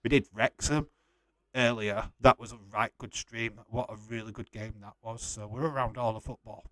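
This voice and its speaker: A man's voice: medium pitch, fairly even intonation, moderate pace, a bit bright, dry recording. Yorkshire man chatting casually, close and dry. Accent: Yorkshire English. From West Yorkshire, England. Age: forties. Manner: informal, blunt, chummy, swearing. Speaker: livestream host. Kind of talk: casual watch-along chat, reading out viewer comments.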